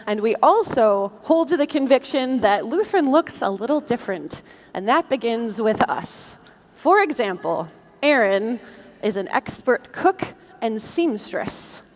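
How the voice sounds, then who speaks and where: A woman's voice: fairly high pitch, expressive, measured pace. A woman co-hosting a podcast as a live stage show, trading scripted banter in a big reverberant hall. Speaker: podcast co-host. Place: large convention hall stage.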